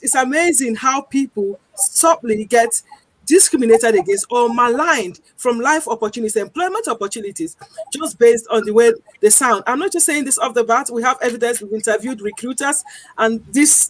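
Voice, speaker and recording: A woman with a Nigerian accent, speaking earnestly as a guest on a panel discussion, pausing often with ums. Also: on the high side, no echo, low-quality recording. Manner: earnest, deliberate, frequent pauses with um.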